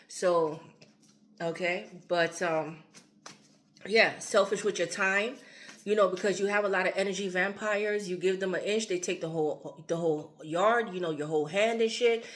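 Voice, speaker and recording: A woman's voice: lowish voice, a little hiss or hum. A woman giving a loose, rambling tarot reading, her voice a little strained as she starts to lose it. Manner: loose and repetitive, fillers and asides. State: losing her voice. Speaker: tarot reader.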